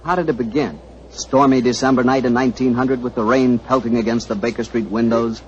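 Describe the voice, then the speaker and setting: A man's voice: medium pitch, fairly even intonation, a little fast, slight background noise. A man hosting and announcing on 1940s radio in a folksy, chatty salesman's manner. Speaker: announcer and host. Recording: tinny, narrow 1940s radio recording.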